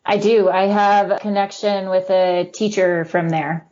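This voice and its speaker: A woman speaking as an expert guest on a podcast, measured and thinking aloud as she answers. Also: medium pitch, level tone, darkish tone, lo-fi sound. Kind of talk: casual interview chat.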